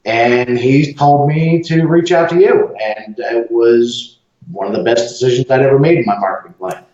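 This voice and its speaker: A man speaking as a business-owner guest from his office on a video call, relaxed and hesitant with many ums. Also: mid-range voice, normal speed, reverberant, recorded from a distance, dull audio. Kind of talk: remote interview conversation.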